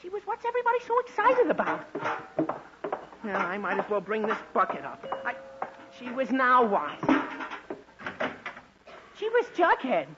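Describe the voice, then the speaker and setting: High bright teenage boy, excitable, whiny, apologetic, 1940s radio comedy, muffled hissy recording. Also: moderate pace, long pauses, full character voice. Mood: exasperated. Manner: excitable, stammering, whiny protesting. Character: eager, flustered teenage boy, apologetic and easily exasperated.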